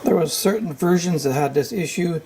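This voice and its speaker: A man giving an unscripted, hands-on repair walkthrough, thinking aloud in a halting, tentative way. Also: fairly high pitch, warm tone, a little hiss or hum. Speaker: repair tutorial host.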